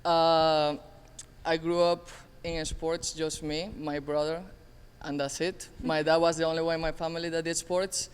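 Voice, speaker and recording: Crisp-voiced young man from Venezuela. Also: fairly high pitch, flat delivery, unhurried. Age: 20s. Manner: earnest, slightly nonstandard grammar. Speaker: assistant tennis coach. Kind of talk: casual panel introduction. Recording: reverberant hall.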